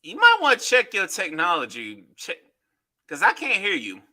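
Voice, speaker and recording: Podcast host guy, really bright voice, pitched a bit high, easygoing and cussing. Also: huge pitch swings, normal speed. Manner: loose, swears freely, chatty. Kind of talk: casual chat.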